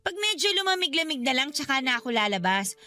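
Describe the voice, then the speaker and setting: A woman's voice: sits high, sing-song, fast talker, sharp tone, exaggerated voice. A woman playing a cheeky, whining eighteen-year-old younger sister with a Filipino accent in a radio drama, defensive and full of excuses. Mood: whiny reluctance.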